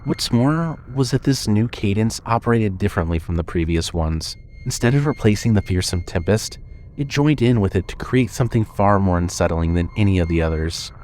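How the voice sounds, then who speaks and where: Narrator, male: fairly low register, scripted horror storytelling, light background ambience under the voice. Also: lively intonation, normal speed, darkish tone, a little hiss or hum.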